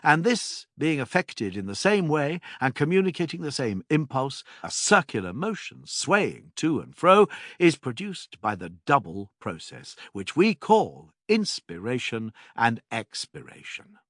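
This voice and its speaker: A man reading a classical philosophy text as scripted narration, with measured, deliberate phrasing and emphatic pauses. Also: medium pitch, sing-song, fairly bright tone, no echo, clean studio sound.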